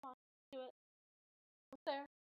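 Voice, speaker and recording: A woman giving casual, chatty commentary on a game livestream, rambling and often trailing off mid-thought. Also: moderate pace. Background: music.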